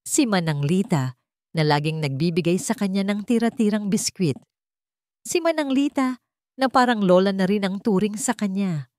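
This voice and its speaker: A woman's voice: mid-range voice, darkish tone, no echo, clean studio sound. A woman reading a scripted dramatic story as its narrator.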